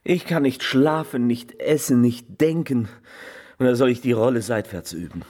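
A man's voice: medium pitch, moderate pace, a little mellow, natural voice. An actor playing an anxious, reluctant man drawn into a murder plan, hesitant and stammering, in a radio crime drama. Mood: troubled.

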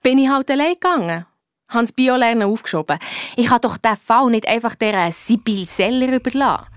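A woman acts a schoolgirl detective in a radio play, with a Swiss German accent. The voice is high and recorded very dry. She narrates in a colloquial, indignant way, like a feisty kid. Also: expressive, brisk, clear, resonant tone, no echo, natural voice. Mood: fed-up, eager.

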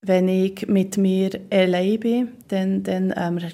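A woman's voice: medium pitch, flat delivery, takes it slowly, mellow. A woman in her forties with a Swiss German accent who stutters, repeating sounds as she talks about herself in an interview. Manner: stutters, with repeated syllables and word blocks. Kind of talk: personal interview.

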